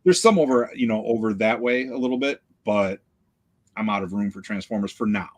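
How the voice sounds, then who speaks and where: Middle-aged male host, low-pitched, casual and rambling, easygoing home chat. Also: a little fast. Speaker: podcast host. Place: home collection room.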